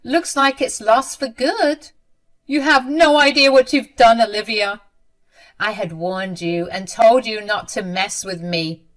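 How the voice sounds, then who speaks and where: A woman's voice: a little high, unhurried, pitched above the performer's own voice, close to an everyday voice. A snobbish, middle-aged mother-in-law, as a woman narrator plays her, with a haughty and scornful way of speaking. She is cruel and full of her own status in this dramatized story. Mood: gloating.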